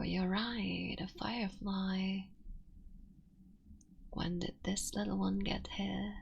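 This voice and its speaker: A woman's voice: medium pitch, vocal fry, husky, heavily acted. Woman voicing a whispering immortal fox witch. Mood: teasing. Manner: soft intimate whispering. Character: immortal female fox witch, devoted tender wife. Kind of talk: ASMR roleplay.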